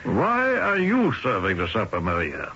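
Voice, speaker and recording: A man's voice: lowish voice, wildly swooping pitch, moderate pace, bright, forceful tone, full character voice. An actor playing a stubborn, traditional immigrant father in a radio drama, scolding and arguing through pointed rhetorical questions. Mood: bossy, probing. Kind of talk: old-time radio drama acting. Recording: muffled, noisy old radio broadcast.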